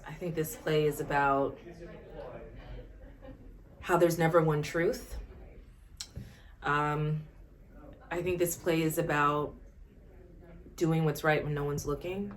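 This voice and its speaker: A woman giving a reflective interview, thoughtful and halting. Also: sits low, fairly even intonation.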